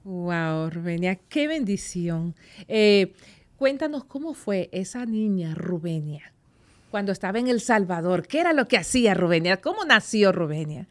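Female radio interviewer, middle-aged, average pitch, conversational, reacts in short interjections, studio mic. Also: sing-song, measured pace. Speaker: radio host. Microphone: close desk microphone.

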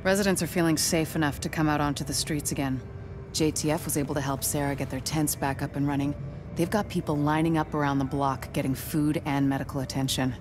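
An actress playing a tough, determined young American agent commander in a video game, blunt and no-nonsense. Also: female voice, low-pitched, steady tone, quick pace, music in the background, lightly acted. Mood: brisk, confident. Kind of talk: video game cutscene acting. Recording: clear background ambience noise.

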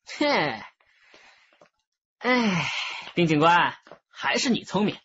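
A man's voice: sits high, very animated, takes it slowly, fairly bright tone, highs cut off, a little hiss or hum, full character voice. An actor playing a brash, slippery streetwise teenage boy in a radio drama, cocky and wheedling.

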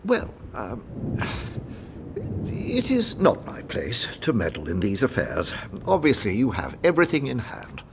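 Timid, very animated medieval vicar. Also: male voice, medium pitch, a little slow, background noise. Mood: meek. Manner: hesitant, deferential objecting. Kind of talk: audio drama acting.

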